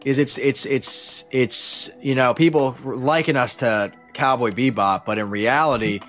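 A man speaks as a guest on a casual podcast at an average pitch. He rambles and halts, often starting his sentences over. Music plays in the background. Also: fairly even intonation, measured pace, a bit bright. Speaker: podcast guest, audio drama creator and musician.

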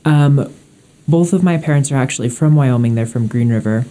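A woman's voice: rumbling low, brisk, warm tone. A teenage girl plainly describing her family in a matter-of-fact way. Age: teens. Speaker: student interviewee.

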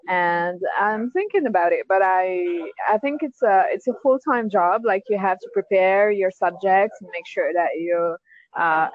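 A woman's voice: mid-range voice, no echo, lo-fi sound. A young woman whose first language is Arabic, chatting on a call as a podcast listener, hesitant with many fillers and restarts. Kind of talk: casual call-in chat.

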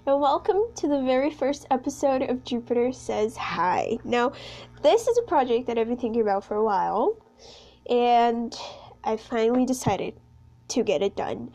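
A woman's voice: a little high, lively intonation, normal speed, warm tone. A sixteen-year-old young woman from Brazil, a Portuguese speaker, chatting casually and ramblingly as a solo podcast host. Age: teens, sixteen. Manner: rambling, chatty, self-conscious.

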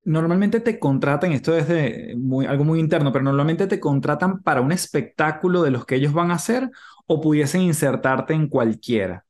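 A man's voice: on the high side, animated, normal speed, darkish tone. A man hosting a conversational interview and putting questions to his guest. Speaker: interviewer, podcast host.

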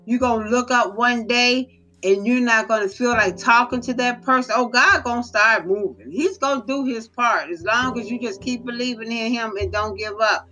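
A woman hosting a devotional podcast, giving an informal sermon in an earnest, exhorting way, repeating phrases for emphasis, her voice slightly hoarse. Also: a little high, normal speed, bright, forceful tone, soft background music.

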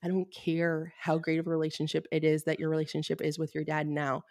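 A woman with a notably low, deep speaking voice, recorded close and dry. She talks as a Reiki fertility healer in a podcast interview and explains things steadily, plainly and bluntly, with many "um"s along the way. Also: brisk, dry recording. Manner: steady, explanatory, blunt at times, with frequent fillers.

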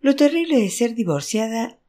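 Audiobook role: flirtatious divorced woman, frank and brisk, teasing, medium pitch played by the narrator. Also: sing-song, warm tone, lightly acted. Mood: indignant, confiding. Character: frank, flirtatious divorced Bolivian aunt in a novel.

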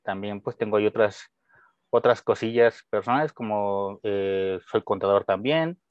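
A young Mexican man with a Mexican Spanish accent and a lowish voice talks about his path as a teacher. He hesitates and restarts often. His sound is dry and muffled, like a lo-fi call. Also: moderate pace, smooth, no echo. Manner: hesitant, many fillers and restarts, friendly. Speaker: guest, French teacher. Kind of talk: casual interview answers.

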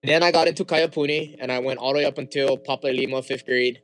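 Hawaiian man in relaxed chat. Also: mid-range voice, fast, soft background music, rough recording. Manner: relaxed, frequent fillers and restarts. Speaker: guest. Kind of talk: casual interview chat.

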